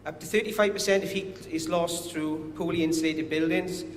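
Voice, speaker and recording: A man's voice: on the high side, fairly even intonation, moderate pace. A man with a strong Welsh accent giving a conference presentation as a company director, a little nervous and self-deprecating. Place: conference hall. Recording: echoey hall with faint noise.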